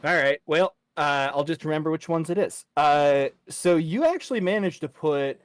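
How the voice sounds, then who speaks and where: A man's voice: fairly high pitch, animated, normal speed, clean tone. A man speaking casually as game master of a tabletop role-playing session.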